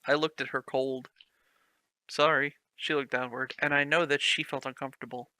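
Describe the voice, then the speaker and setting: Bright-voiced sarcastic man reading aloud. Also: mid-range voice, flat delivery, normal speed, clear, resonant tone, dry recording. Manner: sarcastic, swears, laughs at the text. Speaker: reader of a fan story. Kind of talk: mocking dramatic reading with commentary.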